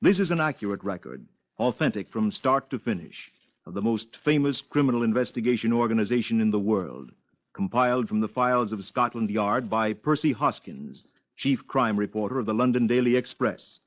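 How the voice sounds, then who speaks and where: A man's voice: medium pitch, normal speed. A man making a formal scripted announcement in the style of 1950s radio. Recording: muffled vintage radio recording.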